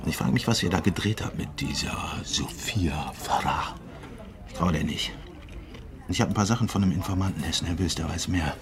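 A man's voice: low voice, fairly quick, a crowd in the background, close to an everyday voice. A man playing an embittered, suspicious police detective in a naturalistic radio crime drama, blunt, colloquial and sardonic. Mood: suspicious, low.

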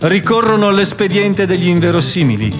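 A man's voice: high voice, lively intonation, brisk. A man narrating a scripted historical radio drama in Italian in a formal, dramatic style. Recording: long hall reverb, music underneath.

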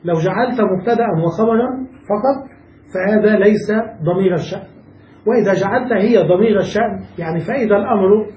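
A man's voice: high voice, fast, darkish tone, echoey room, distant mic, rough recording. A man teaching a classical Arabic grammar lesson, explaining step by step and checking that students follow. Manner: patient, asks checking questions to students.

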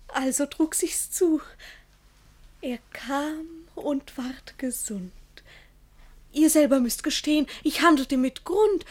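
Innocent young girl, high voice, guileless, earnest, classic radio play verse. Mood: earnest, plaintive. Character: naive, candid young girl, artlessly innocent.

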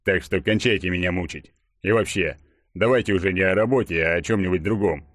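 A man voicing a burly, tipsy ex-soldier in an audiobook, gruff and suspicious, rambling colloquially. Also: sits low, fairly quick, fairly bright tone, no echo, clean studio sound. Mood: grumpy.

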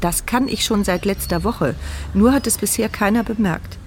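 A woman's voice: mid-range voice, moderate pace, darkish tone, slight background noise, lightly acted. An actress voicing a paralysed, blind and mute woman in a radio drama, narrating her inner thoughts in a dry, sardonic, reflective way.

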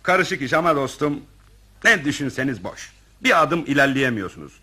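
An actor in a radio play voicing a callous, self-serving office director who talks in an officious, evasive, digressive way. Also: male voice, medium pitch, very animated, moderate pace, sharp tone. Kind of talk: radio theatre acting.

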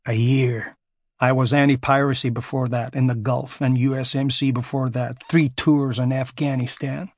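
A man's voice: medium pitch, little pitch movement, moderate pace, no echo, clean studio sound, lightly acted. A man voicing a burly, crude American ex-marine security contractor with an American accent. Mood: brash. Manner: crude, swaggering, profane.

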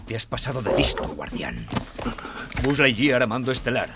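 A man's voice: mid-range voice, sing-song, moderate pace, heavy background noise, natural voice. An actor playing a bold male toy space ranger who barks stiff military orders in a storybook reading. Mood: indignant. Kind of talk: storybook dialogue. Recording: music playing under the voice.

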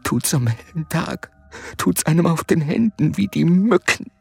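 A woman playing a tormented, jealous soldier in a radio play, muttering in halting, whispery, repeated fragments. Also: deep voice, animated, gravelly, husky, exaggerated voice. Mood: anguished jealousy. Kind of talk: dramatic radio play monologue. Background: music.

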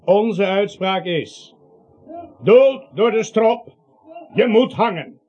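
Radio play judge: formal, officious, high-pitched, comic drama, muffled recording. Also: male voice, lively intonation, slow. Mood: stern, formal. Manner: formal, pronouncing. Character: stern, officious male judge of a mob trial.

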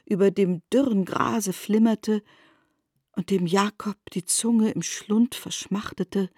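A woman narrating a literary radio drama in a measured storytelling manner. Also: medium pitch, fairly even intonation, dark tone, slightly rough, dry recording, studio quality.

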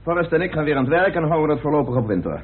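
A man's voice: on the high side, very animated, rapid-fire, a crowd in the background. An actor playing a determined, authoritative committee chairman in an old radio play. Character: committee chairman, a determined, brisk British parliamentarian. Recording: scratchy lo-fi old radio recording.

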